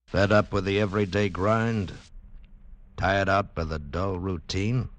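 Low, ominous male radio announcer. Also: steady tone, unhurried, no echo. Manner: ominous, theatrical. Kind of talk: dramatic 1940s-50s radio narration. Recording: faint music behind.

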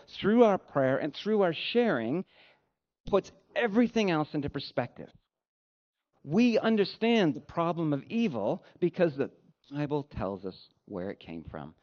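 A man delivering a sermon as a pastor, speaking in an earnest, conversational way with deliberate phrasing. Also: on the high side. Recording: dull, lo-fi sound.

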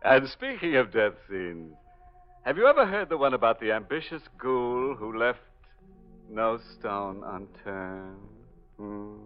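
Slow, ghoulish radio horror host. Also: male voice, fairly low pitch, big pitch swings, faint music behind. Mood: sardonic, macabre. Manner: sardonic, mock-sinister, drawn-out. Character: ghoulish, gleefully macabre horror host with corny puns. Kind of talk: 1940s radio horror hosting. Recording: muffled vintage radio broadcast recording.

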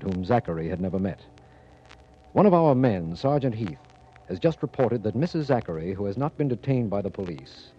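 An actor playing a stern, authoritative American district attorney in formal 1940s radio style, with a husky voice. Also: male voice, medium pitch. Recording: dull 1940s radio recording.

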